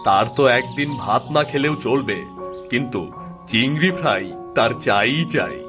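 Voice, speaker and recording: A man's voice: medium pitch, moderate pace, over music, natural voice. A man voicing an easygoing, indulgent village father and husband in a moral-story cartoon, casual and dismissive of worries. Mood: cheerful.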